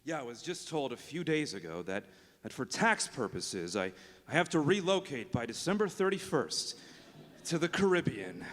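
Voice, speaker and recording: An actor playing an eccentric, big-talking billionaire boss in a live radio play. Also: male voice, mid-range voice, very animated, normal speed, fairly bright tone. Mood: mock solemn. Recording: echoey hall, live stage show.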